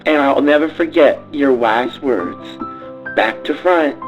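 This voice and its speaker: A man's voice: mid-range voice, expressive, slow pace, dull audio, over music. A man playing a vain, melodramatic young Southern pageant queen with a theatrical, gushing delivery in a comic radio play. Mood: sentimental. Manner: theatrical, gushing, shrieking when upset.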